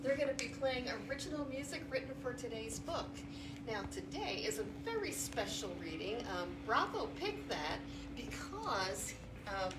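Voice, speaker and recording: A woman hosts musical story time for children from a theatre stage. Her voice sits high and moves up and down with lots of animation as she greets her young audience. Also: roomy sound, far from the mic, very noisy.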